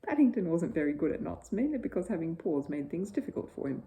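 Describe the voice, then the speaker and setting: Female storyteller for children's bedtime narration; slightly low, mellow, animated. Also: fast, a little hiss or hum.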